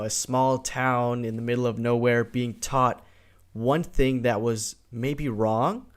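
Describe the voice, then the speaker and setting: A man in his twenties hosting a casual podcast interview, easygoing and agreeable, asking open questions. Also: medium pitch. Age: 20s. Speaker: podcast host.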